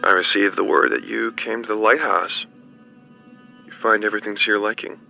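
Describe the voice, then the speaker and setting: An actor playing a formal, insistent agency caller in a video game, polite but pressing. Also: male voice, lowish voice, fairly even intonation, brisk, gravelly, sharp tone, highs cut off, soft background music, full character voice. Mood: politely formal. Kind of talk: naturalistic video game acting.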